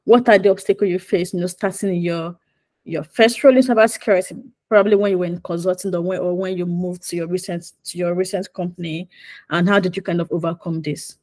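Low-voiced woman moderating a webinar. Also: steady tone, quick pace, darkish tone. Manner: asking long rambling questions.

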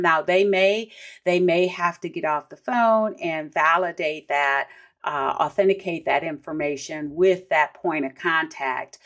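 A woman's voice: low voice, a bit bright. A middle-aged American woman hosting a solo podcast, explaining things in an instructive, conversational way. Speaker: podcast host.

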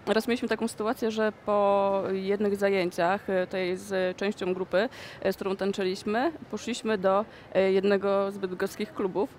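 A young woman, a dance choreographer, answering interview questions in a conversational way with frequent pauses, recorded in a shopping mall. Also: mid-range voice, little pitch movement, darkish tone, slight background noise.

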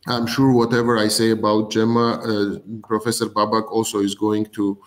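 A man in his thirties hosting a live online event from an office, speaking haltingly as he introduces guests. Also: fairly low pitch, completely flat delivery. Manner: halting, searching for words. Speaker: host. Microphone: video call.

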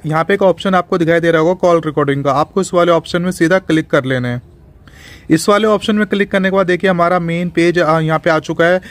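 A man's voice: fairly high pitch, no echo. A man hosting a phone tutorial, explaining in an instructional way and repeating each step. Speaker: tech tutorial channel host.

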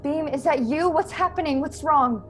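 A woman's voice: sits high, moderate pace, faint music behind, lightly acted. A young woman playing a grieving starship crew member in a science-fiction radio play, telling her story dramatically. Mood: alarmed. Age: young adult. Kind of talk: dramatic first-person radio play narration. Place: bedroom at home, video call. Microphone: earphone headset on video call.

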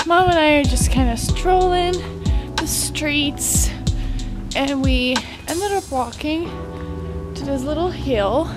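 A young Dutch woman talks into a phone held at arm's length, chatty and cheerful, slipping Dutch words into her English. Her voice sits high and she speaks at an unhurried pace. Loud music plays under her talk. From Netherlands. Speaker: vlogger. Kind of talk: casual travel vlog. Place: inside a car. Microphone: phone camera held at arm's length.

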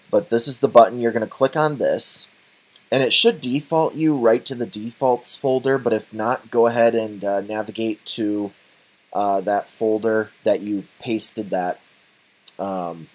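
Low-voiced man with halting delivery. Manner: halting, hesitant delivery with frequent uhs. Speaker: tutorial presenter. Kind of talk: unscripted software walkthrough. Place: voice-over for a screen recording.

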